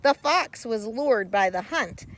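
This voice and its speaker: Slow, very animated woman storyteller. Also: on the high side. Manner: theatrical, deliberate phrasing with dramatic pauses. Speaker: storybook reader. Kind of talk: expressive children's story read-aloud.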